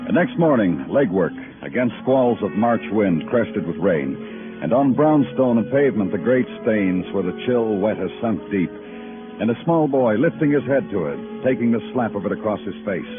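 Male, acting world-weary big-city detective for vintage radio noir; very low, widely swinging intonation, brooding and poetic. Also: lively intonation, normal speed, close to an everyday voice. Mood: brooding, lyrical. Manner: brooding, lyrical, dramatic delivery. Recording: muffled old radio broadcast with music.

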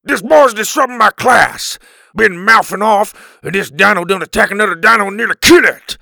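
A man voicing a furious, filthy country doctor, rough and gravelly, blustering in folksy backwoods grammar for a storybook. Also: high-pitched, moderate pace, bright, forceful tone, no echo, clean studio sound, voice pushed up, heavily acted. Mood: angry, accusing. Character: filthy, furious, wild-haired old country doctor caveman.

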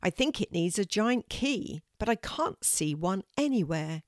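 A woman's voice: medium pitch, lively intonation, unhurried, no room sound, clean studio sound, natural voice. Woman acting an earnest, eager young girl. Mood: puzzled. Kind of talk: children's storybook character voice.

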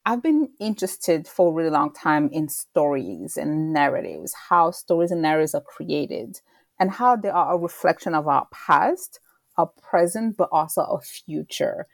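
A woman's voice: low voice, lively intonation, moderate pace, creaky, no echo. A woman in her thirties from Rwanda, answering interview questions in a reflective way, searching for her words. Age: 30s. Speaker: guest author and lecturer.

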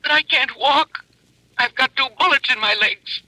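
A man's voice: very high voice, moderate pace, sharp tone, voice pushed up, exaggerated voice, low-quality recording. An actor voicing a terrified, wounded man desperately pleading for help in an old radio drama, through a thin filtered effect. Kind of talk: 1940s radio acting.

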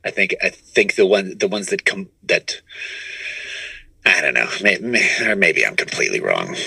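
Bright-voiced man, halting podcast guest. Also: low-pitched, creaky, breathy edge, no room sound, rough recording. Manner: animated, halting, repeats and restarts phrases. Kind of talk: casual conversational interview answers.

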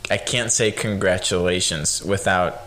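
A young man hosting a podcast, a native Spanish speaker with a light Spanish accent, chatting in a relaxed, rambling way with lots of fillers. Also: deep voice, measured pace. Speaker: podcast host. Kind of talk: casual chat.

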